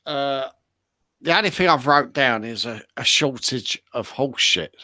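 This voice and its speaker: A man chatting casually in a halting way as a guest on a show. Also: medium pitch, bright, forceful tone, dry recording. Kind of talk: casual chat.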